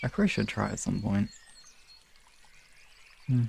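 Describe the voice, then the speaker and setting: A man's voice: fairly low pitch, normal speed, gravelly, a little hiss or hum. A man chatting casually on a live stream in a hesitant, rambling, self-questioning way, as host of relaxation sessions. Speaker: hypnosis ASMR streamer.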